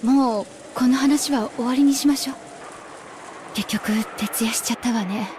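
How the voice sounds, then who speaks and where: A woman's voice: fairly high pitch, fast talker, background noise, natural voice. An actress playing a tired, casual woman in a Japanese visual novel. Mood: weary.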